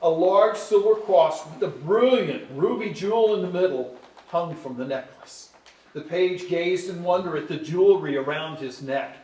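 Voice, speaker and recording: A man's voice: high voice, a little mellow. A man reading scripted storybook narration for a staged musical. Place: indoor hall, live staged reading. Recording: roomy, distant live stage pickup.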